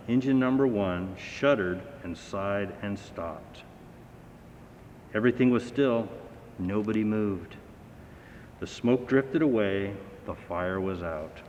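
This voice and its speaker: A man reading a children's story aloud with dramatic, expressive storybook narration. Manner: dramatic, acting out sounds and dialogue. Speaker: fire battalion chief reading a children's story. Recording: echoey hall, dull sound, faint rumbling noise.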